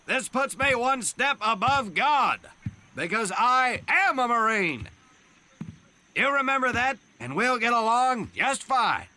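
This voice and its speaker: An actor playing a pompous, sardonic Marine drill instructor who declaims like a preacher and barks orders in a war game. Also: male voice, very high voice, very animated, takes it slowly, bright, forceful tone, heavily acted. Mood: smug, stern. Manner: declamatory mock-biblical oratory, barking orders. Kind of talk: theatrical military speech in a war game.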